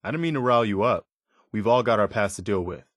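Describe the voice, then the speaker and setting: Game role: male actor as weary but idealistic militiaman, sincere, measured, lowish voice, close dry recording. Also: lively intonation, no room sound, close to an everyday voice. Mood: apologetic, gentle. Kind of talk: video game character dialogue.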